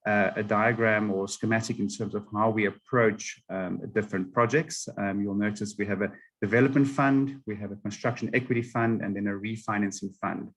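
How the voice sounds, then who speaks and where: A South African man presenting slides to a webinar audience, steady and explanatory with frequent ums. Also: on the low side, a little fast, hardly any pauses. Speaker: webinar panelist.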